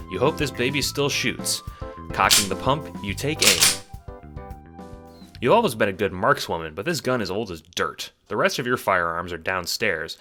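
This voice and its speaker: Male narrator, low-pitched, dramatic delivery of second-person audio fiction, music underneath. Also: bright, forceful tone. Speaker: narrator and producer.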